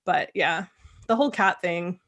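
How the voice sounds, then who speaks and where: A woman hosting a casual interview, talking in a chatty way with quick asides. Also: fairly low pitch, a bit bright. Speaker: host. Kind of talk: casual video-call chat.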